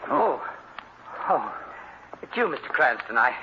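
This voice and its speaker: An actor playing a flustered, polite professor in an old radio drama, speaking a little hesitantly. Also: male voice, medium pitch, big pitch swings, measured pace, highs cut off. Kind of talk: 1940s radio acting. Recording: hissy, band-limited old radio recording.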